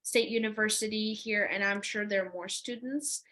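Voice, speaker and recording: A young woman from Ukraine with a Ukrainian accent, a university student explaining her experience in an earnest interview with frequent pauses. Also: mid-range voice, fairly even intonation, pushed and bright. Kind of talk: conversational interview.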